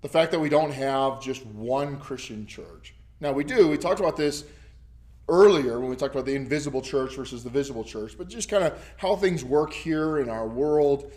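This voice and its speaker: A man's voice: medium pitch, moderate pace, darkish tone. A man with a scratchy voice leading a church Bible study, talking casually and rambling with many ums and restarts. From United States. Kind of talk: casual teaching to a small group. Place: church classroom. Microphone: camera recording.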